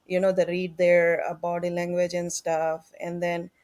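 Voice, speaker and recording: A woman parent talking about her daughters, halting and repeating words as she goes. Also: on the low side, completely flat delivery, a little mellow. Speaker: parent guest. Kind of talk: casual discussion.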